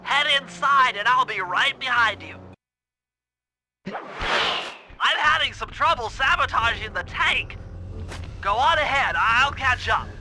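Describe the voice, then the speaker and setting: A man's voice: very high voice, animated, slow pace, pauses often, sharp tone, a little hiss or hum, full character voice. A man voicing a nerdy young turtle tech genius in a video game, with a thin nasal voice and a precise, wordy, anxious manner. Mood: explaining plan. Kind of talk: video game cartoon voice acting. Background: music.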